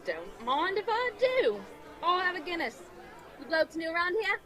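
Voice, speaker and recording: A woman's voice: extremely high pitch, big pitch swings, moderate pace, sharp tone, a faint crowd, heavily acted, poor audio. A woman voicing a friendly, gossipy male tavern keeper with a Cockney accent in a radio drama. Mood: jovial. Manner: folksy, gossipy, chummy. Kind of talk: old-time radio drama script read live.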